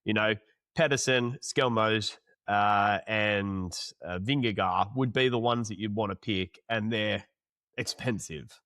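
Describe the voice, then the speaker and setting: A man's voice: fairly low pitch, measured pace, fairly bright tone, dry recording. A male podcast host asking long, rambling questions in a casual interview.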